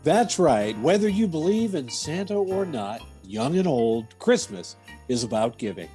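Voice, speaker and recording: A man's voice: a little high, very animated, a little slow, dark tone. A man announcing a radio theater show in a scripted, presentational style. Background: music with jingle bells.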